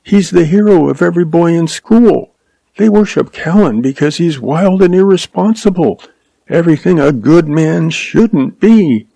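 A man's voice: on the high side, lively intonation, a little slow, creaky, dark tone, dry recording, pitched above the performer's own voice, heavily acted. A man doing a trembling young schoolteacher. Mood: pleading. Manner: pleading, quivering. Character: young frightened schoolteacher woman, earnest.

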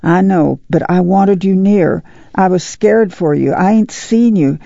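A woman's voice: lowish voice, unhurried, creaky, mellow, no room sound, lo-fi sound. A woman playing a worried, stern but loving mother in a dramatized novel reading, with folksy country speech and an urgent, pleading way of talking. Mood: anxious, tender.

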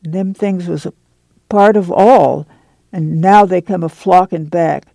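A man voicing a tired, steadfast farm mother with a soft rural drawl, in his own creaky, highish voice. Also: unhurried, no room sound, full character voice. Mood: sad.

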